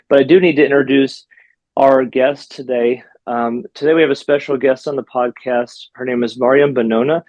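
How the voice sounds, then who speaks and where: A man's voice: mid-range voice, darkish tone, dry recording, highs cut off. Man hosting a podcast, dry sound. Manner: friendly, hesitant with fillers. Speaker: podcast host, physical therapist. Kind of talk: casual interview podcast.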